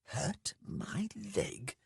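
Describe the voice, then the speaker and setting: A man voicing a dry, biting, sardonic male rogue in a fantasy audiobook, often hushed. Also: mid-range voice, takes it slowly, slightly rough, no echo, voice pushed up, full character voice. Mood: scornful.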